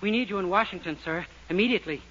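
A man's voice: high-pitched, pushed and bright. An actor playing an anxious, boyish young man in 1940s radio comedy, earnest, flustered and pleading. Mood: urgent. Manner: pleading, hurried. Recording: dull, hissy old radio recording.

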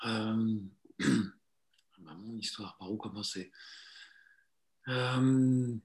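A man in his forties, a psychotherapist and coach, telling his life story in a relaxed interview, hesitant with many fillers and restarts. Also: fairly low pitch, extremely slow. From Italy. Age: 40s.

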